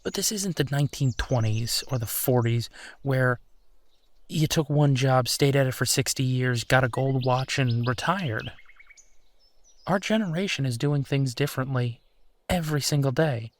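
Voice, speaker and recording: A man's voice: mid-range voice, lightly acted. A man playing a supportive, affectionate husband in an intimate comfort roleplay, talking in a reassuring, conversational way. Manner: reassuring, conversational, unhurried pauses. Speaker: audio roleplay performer.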